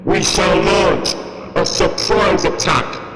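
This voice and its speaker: A man's voice: high voice, lively intonation, slow, full character voice. A man voicing an imperious alien war machine in a sci-fi fan audio drama, barking in staccato through a robotic effect. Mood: menacing. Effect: robotic ring-modulated voice. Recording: echoing, loud music behind. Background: loud dramatic music.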